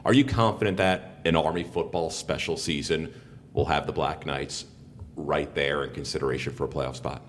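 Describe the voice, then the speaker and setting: A man working as an interviewer and sports broadcaster, posing questions in a conversational style. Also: moderate pace. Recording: reverberant hall sound with rumbling noise.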